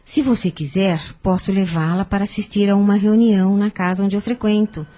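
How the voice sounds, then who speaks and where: A Brazilian woman playing a kindly, devout, motherly lady in an audio drama, gentle and earnest as she gives guidance. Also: mid-range voice, fairly even intonation, a little fast, natural voice. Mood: calm, reassuring.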